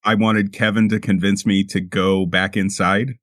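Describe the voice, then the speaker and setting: A man playing a tabletop roleplaying game, chatting casually and often repeating his words as he thinks out loud. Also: lowish voice, little pitch movement, normal speed, no echo, clean studio sound. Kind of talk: casual table chat and in-character play.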